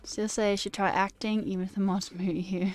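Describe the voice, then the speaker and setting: A woman's voice: mid-range voice, level tone, normal speed, darkish tone. Young woman streamer with put-on British accent. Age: young adult. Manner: self-deprecating, theatrical. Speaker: live coding streamer. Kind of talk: casual livestream chat, reading viewer comments aloud. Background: music.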